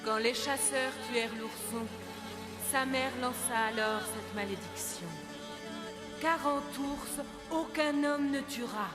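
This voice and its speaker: An actress playing a solemn storyteller telling a legend in a French cartoon. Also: female voice, high-pitched, slow, long reverb tail. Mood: solemn, ominous. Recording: loud music under the voice.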